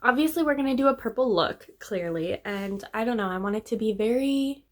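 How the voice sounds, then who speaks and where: A young woman, slightly hoarse and congested, chatting casually through a makeup tutorial. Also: on the high side, expressive, a little fast. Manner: chatty, upbeat, trailing off with fillers. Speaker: beauty vlogger.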